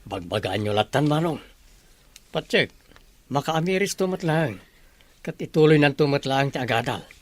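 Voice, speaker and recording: An earnest family father, acted by a man in an Ilocano radio drama. His voice is medium in pitch and sincere as he speaks with relatives. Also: animated, moderate pace, long pauses, lightly acted. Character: earnest father and younger brother who values schooling.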